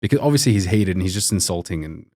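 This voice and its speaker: Deep-voiced man, rambling chat. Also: animated, fast, darkish tone, no room sound, studio quality. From Australia. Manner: rambling, many restarts and fillers. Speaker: podcast guest, doctor. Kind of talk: casual storytelling chat.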